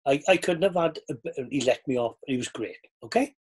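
A man's voice: medium pitch, brisk, slight background noise. An older man with a Welsh accent, a former pastor talking earnestly over a video call from a book-lined study. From Wales. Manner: earnest, reflective, animated gestures.

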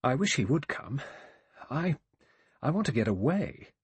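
A man's voice: mid-range voice, very animated, unhurried, slightly rough, dry recording, pitched above the performer's own voice, heavily acted. A man voicing a nervous young woman who is fighting for composure in an audiobook, hesitant and anxious.

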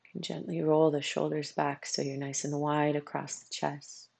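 A meditation coach guiding a practice in a soothing way, with unhurried phrases, long pauses and a husky, gravelly voice. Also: mid-range voice, flat delivery, darkish tone, no room sound. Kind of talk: guided meditation.

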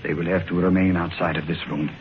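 An actor playing a solemn, resigned doctor of the occult in a 1950s radio drama, speaking with grave emphasis. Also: male voice, deep voice, flat delivery, quick pace, close to an everyday voice. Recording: muffled, noisy 1950s radio recording.

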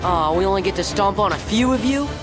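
A man's voice: high voice, animated, fast talker. A young-sounding man playing a brash, spirited teenage boy hero in a video game, cocky and youthful. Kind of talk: animated video game acting. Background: loud game music.

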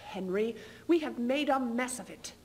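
Weary, sharp-tongued queen for stage drama young actress: highish voice, widely swinging intonation, biting delivery. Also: lively intonation, normal speed, close to an everyday voice. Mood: weary, pleading. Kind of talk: theatrical duo interpretation, stage reading. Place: indoor stage in front of curtain.

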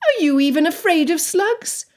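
A woman's voice: very high-pitched, animated, moderate pace, darkish tone, voice pushed up. A woman voicing a headstrong, impulsive young girl of genteel birth, earnest and insistent, in a storybook reading. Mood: indignant disbelief. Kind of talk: dramatised audiobook dialogue.

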